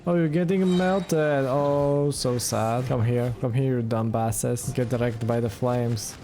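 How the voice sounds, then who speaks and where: A woman's voice: rumbling low, steady tone, takes it slowly, music in the background. A woman giving casual, dry and blunt commentary as she plays a video game. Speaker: video game let's player.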